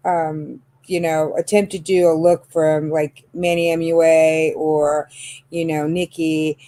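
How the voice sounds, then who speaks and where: A woman's voice: sits low, flat delivery, takes it slowly, mellow. A woman of fifty hosting a casual chat about makeup, talking with many fillers and laughs. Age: 50s. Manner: chatty, lots of fillers and laughs. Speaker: channel host.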